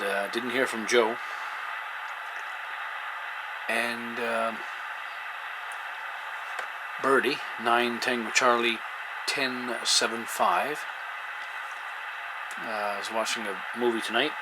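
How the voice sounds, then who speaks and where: A man chatting casually and hesitantly as a CB radio operator, making call-outs on air. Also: mid-range voice. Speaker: amateur CB radio operator and video host. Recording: very noisy, loud radio static. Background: CB radio noise.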